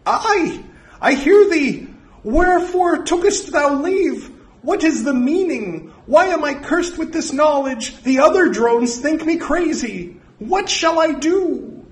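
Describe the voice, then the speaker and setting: A squeaky, very high-pitched storybook drone, eager to obey and easily bewildered. The speech is archaic and excitable, swooping up and down like a gullible servant's. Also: male voice, takes it slowly, exaggerated voice. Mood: anguished, confused. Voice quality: falsetto, squeaky. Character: old slow-witted fanatical drone manager turned squeaky falsetto Mickey god.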